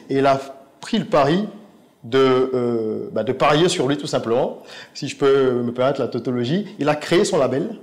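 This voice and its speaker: A man's voice: mid-range voice, a little mellow. A man interviewing a guest, asking long, elaborate and articulate questions. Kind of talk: interview conversation.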